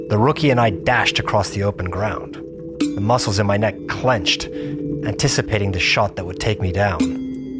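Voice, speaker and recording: A low-pitched actor playing a seasoned male gamer tells his survival story in a measured, intense way. He sounds weary and experienced, like the lead of a first-person audio drama, with music clearly playing underneath. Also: lightly acted. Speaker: narrator and lead character. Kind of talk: scripted first-person audio drama narration with acted dialogue.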